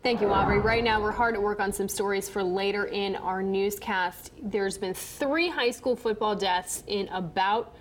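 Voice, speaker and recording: A woman's voice: mid-range voice, moderate pace, few pauses, a little hiss or hum. An American woman working as a news anchor, moving between scripted reading and chatty banter.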